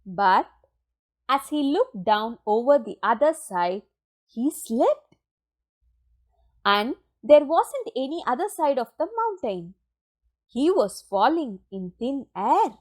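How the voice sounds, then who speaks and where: Slow, high-voiced woman reading a storybook. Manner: expressive, deliberate storytelling with dramatic pauses. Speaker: storybook reader. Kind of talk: children's picture book read-aloud.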